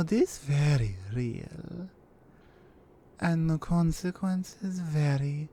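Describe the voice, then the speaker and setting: A woman playing a menacing, seductive Russian mafia captor in an intimate ASMR roleplay, with a Russian accent and a deliberate, controlled delivery. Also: deep voice, warm tone, slight background noise, full character voice.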